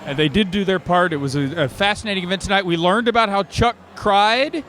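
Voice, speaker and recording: A man's voice: sits high, brisk, noisy background. A man hosting an on-location interview, introducing his guest, summing up and asking questions in a conversational way. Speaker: interviewer, host.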